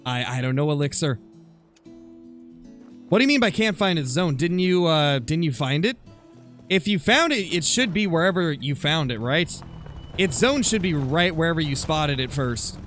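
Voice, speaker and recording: Higher-pitched man chatting on a gaming livestream. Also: animated, moderate pace, fairly bright tone, faint music behind. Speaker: live streamer, gamer. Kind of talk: casual livestream chat over gameplay, answering viewer comments.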